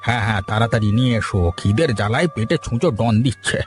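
A man acts a genial older family man from a village cartoon. He talks in a homely, chatty way at a middling pitch. Faint music sits behind him. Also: lively intonation, very fast, close to an everyday voice. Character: genial older village husband and father-in-law. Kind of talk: cartoon story dialogue.

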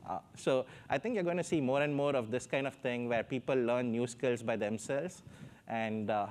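A man's voice: mid-range voice, normal speed, a little mellow. A man with an Indian accent, speaking as a panelist on a stage through a lapel mic, hesitant with many fillers. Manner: hesitant, many fillers, gestures while talking. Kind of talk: panel discussion. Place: stage in front of a backdrop.

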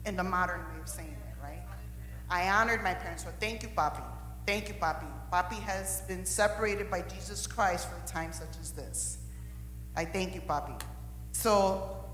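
A woman's voice: mid-range voice, fairly bright tone, slight background noise. A woman in her forties, the pastor's wife, speaking emphatically to her church on a handheld mic, repeating lines to press her point. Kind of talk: church testimony to the congregation. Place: church stage, large room. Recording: roomy hall reverb with faint music.

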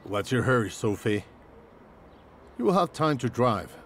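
An actor playing an excitable driving instructor who coaxes and then yells at his student, with music underneath. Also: mid-range voice, a little slow, a little hiss or hum. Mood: coaxing. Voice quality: shouting, exasperated. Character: excitable male driving instructor, quick-tempered.

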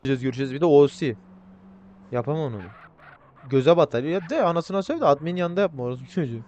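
A man's voice: on the high side, lively intonation, racing, warm tone, highs cut off, a little hiss or hum. A man playing an online roleplay game, bantering crudely with heavy swearing and flips into mock politeness while provoking other players. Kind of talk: casual gaming banter, trolling other players.

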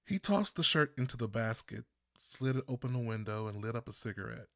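A man from Texas reading his own first-person story as an audiobook narrator, with deliberate phrasing and pauses. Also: mid-range voice, expressive, fairly bright tone, no room sound, clean studio sound. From Texas, United States.

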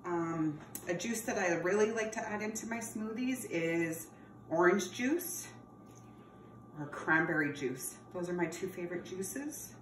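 A woman in her thirties gives a friendly, step-by-step cooking lesson for kids in a home kitchen. She is picked up by a camera across the counter, so she sounds distant and roomy. There is a clear layer of background noise behind her voice. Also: on the low side, lively intonation, measured pace. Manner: friendly, explains step by step while preparing food, calls viewers friends. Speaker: teacher hosting a cooking class for children. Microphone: camera across the counter, no visible mic.

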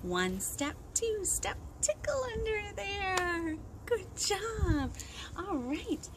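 A woman in her thirties leading an interactive storytime for young children, expressive and sing-song, asking lots of questions. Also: very high-pitched, very slow. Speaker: children's librarian hosting storytime. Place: outdoors on a wooden deck by trees. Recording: windy outdoor recording with clear noise. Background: wind and outdoor ambience.

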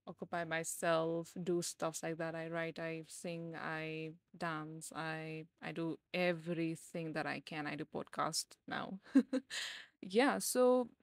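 A woman's voice: low voice, steady tone, slow pace, no room sound, clean studio sound. A woman in her twenties hosting a casual solo podcast, rambling with lots of fillers and growing livelier as she talks. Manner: rambling, filler-heavy, gets brighter and louder as she goes. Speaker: podcast host.

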